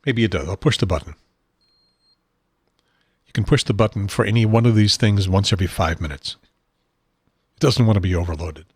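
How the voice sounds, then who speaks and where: Guy hosting a show from his desk, kinda low, raspy unsteady voice, keeps clearing his throat. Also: fairly quick, pauses often, darkish tone, dry recording, clean studio sound. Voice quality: husky, unsteady voice. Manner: rambling, self-deprecating, frequent throat clearing. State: has a probe in his nose. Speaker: podcast host. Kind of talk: rambling solo talk show. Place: home studio at a desk.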